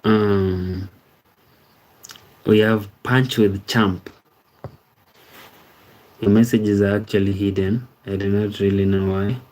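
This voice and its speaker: A Kenyan-accented man hosts a relaxed live stream, greeting viewers and reading their comments back to them. His voice sits low and mellow, with a level, unhurried intonation that rarely rises or falls. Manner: reads viewer comments aloud and thanks them by name; easygoing, repeats words like "amazing, amazing". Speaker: livestream host. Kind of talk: casual live-stream chat.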